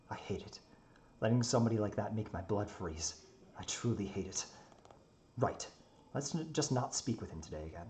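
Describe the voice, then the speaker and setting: A man's voice: lowish voice, animated, moderate pace, long pauses, a little mellow, lightly acted. A man voicing a proud, grumpy non-human creature in a dramatic story reading, haughty and brooding. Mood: resentful. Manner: brooding, haughty, muttering monologue.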